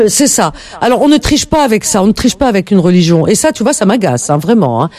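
A woman's voice: medium pitch, lively intonation, a little fast, darkish tone. A woman hosting a call-in radio show, lecturing her caller in an emphatic, indignant way.

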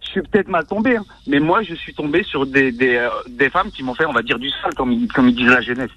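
A man's voice: mid-range voice, quick pace, no echo, muffled recording, faint music behind. A man who is a guest on a radio talk show, speaking earnestly, repeating himself and talking over others. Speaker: studio guest.

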